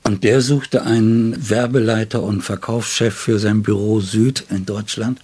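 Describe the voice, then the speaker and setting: Older man, low husky voice, telling memories. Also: steady tone, measured pace, darkish tone. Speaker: writer and literary editor being interviewed. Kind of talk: reminiscing interview talk, anecdotal.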